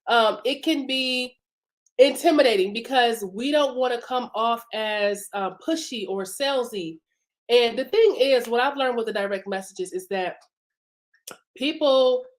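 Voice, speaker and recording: A woman business coach hosting a live broadcast, chatting informally with many fillers while congested from a cough and sore throat. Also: fairly high pitch. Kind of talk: casual live-stream teaching.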